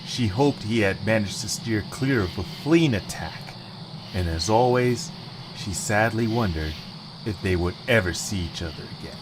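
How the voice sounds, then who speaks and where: A man narrates a scripted story in a fairly low voice. Faint noise sits behind him as he reads the tale. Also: animated, normal speed, poor audio.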